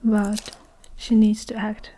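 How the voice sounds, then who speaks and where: Slow, hushed young woman narrating ASMR. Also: mid-range voice, little pitch movement, slight background noise. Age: young adult. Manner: hushed, often whispering, deliberate. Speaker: ASMR performer narrating a story. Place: small indoor room with curtains. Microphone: lapel mic.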